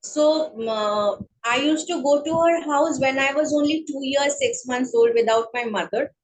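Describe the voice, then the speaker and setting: A woman with a Bengali accent speaking English as a guest in an interview, slow and hesitant with many fillers. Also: high-pitched, low-quality recording. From India. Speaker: guest, counselling psychologist. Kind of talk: online interview, casual chat.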